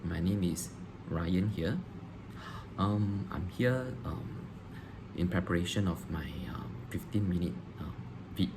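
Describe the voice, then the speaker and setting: A man, a teacher answering interview questions on video, pausing often with ums and restarting phrases. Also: low-pitched. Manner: hesitant, frequent ums and restarts. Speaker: teacher applying for a job. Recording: noisy background hiss.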